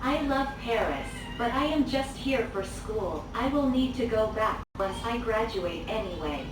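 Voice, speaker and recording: A woman's voice: a little high, unhurried. A woman playing a cheerful student, Jennifer. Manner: even, textbook phrasing. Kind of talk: scripted English dialogue video. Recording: roomy, distant, noisy playback.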